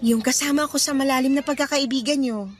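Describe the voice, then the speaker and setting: A woman acting in a Filipino radio drama as a cheerful, gentle young woman letting down her suitors. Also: high voice, steady tone, brisk, noisy background, close to an everyday voice. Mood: gentle, serious.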